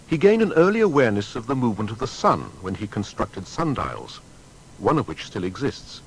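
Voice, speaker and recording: Low, expressive male documentary narrator. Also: rough recording. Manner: measured, dramatic, formal. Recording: faint background rumble and noise.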